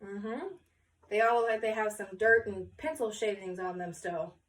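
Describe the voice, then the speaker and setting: A woman reading a children's story aloud with expressive, deliberate phrasing, pausing to question her listener. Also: mid-range voice, distant mic, low-quality recording.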